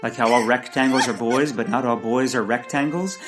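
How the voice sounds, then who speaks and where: A woman's voice: a bass voice, moderate pace, soft background music. A woman playing a pompous, digressive male naturalist in a comedic period audio drama. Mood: flustered grief. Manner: digressive, earnest, theatrical. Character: Eugene, pompous, rambling male naturalist bird enthusiast.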